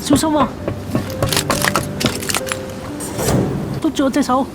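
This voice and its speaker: An actor with a Hong Kong Cantonese accent playing an earnest, righteous police investigator, stern and moralizing in a radio drama. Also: male voice, very high-pitched, fairly even intonation, racing, heavy background noise. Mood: urgent, pleading.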